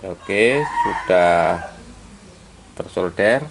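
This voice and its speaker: A man with a deep, creaky voice walks viewers through a hands-on job. He speaks informally and very slowly, pausing between steps, over a clearly noisy amateur recording. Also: animated. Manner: step-by-step, halting as he works, addressing viewers as friends. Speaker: electronics repair hobbyist presenting a tutorial. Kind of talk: informal hands-on tutorial narration.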